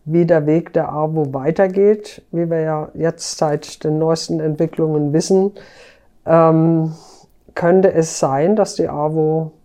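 A woman speaking as an interviewed journalist, explaining things conversationally and sometimes halting mid-sentence. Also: low voice, steady tone, warm tone.

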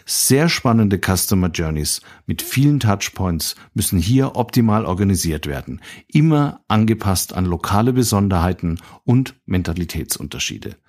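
Guy hosting an interview podcast, voice on the lower side. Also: animated, moderate pace, a little mellow. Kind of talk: scripted introduction, then conversational interview questions.

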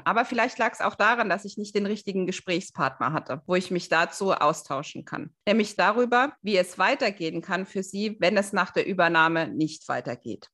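A woman's voice: fairly low pitch, a bit bright. Female podcast host speaking conversationally. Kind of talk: podcast interview.

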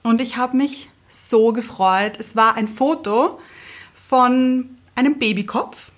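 Female co-host with a fairly high voice, for informal podcast chat and anecdotes. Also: slow. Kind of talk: casual chat, personal anecdotes.

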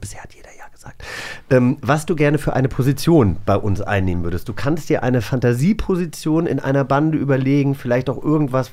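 A man's voice: mid-range voice, darkish tone. A man hosts a casual chat in a mid-range voice. He talks informally, as if among friends. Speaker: host.

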